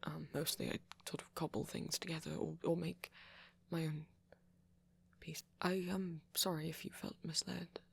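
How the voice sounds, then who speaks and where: A shy young vampire played by a man speaks in a posh British accent for an intimate ASMR roleplay. The voice is light for a male, breathy and crackling with creak. He is hesitant and apologetic, stumbling gently over his words. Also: on the high side, little pitch movement, moderate pace, full character voice. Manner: hesitant, stammering, soft-spoken, apologetic.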